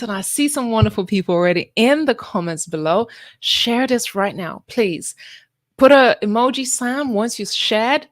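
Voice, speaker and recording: A woman's voice: mid-range voice, no room sound, clean studio sound. Upbeat woman hosting a live online summit. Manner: upbeat, coaxing the audience to share and connect. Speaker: summit host. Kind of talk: livestream event hosting.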